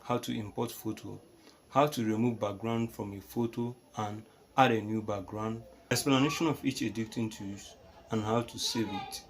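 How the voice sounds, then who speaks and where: A man's voice: lowish voice, steady tone, moderate pace. A man narrating a step-by-step app tutorial in plain, short instructions.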